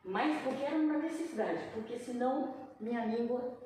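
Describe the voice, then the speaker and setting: A woman moderating a panel discussion, mellow-voiced and conversational, with hesitations. She is heard from across an echoey room, with faint noise behind her. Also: on the high side, moderate pace, far from the mic.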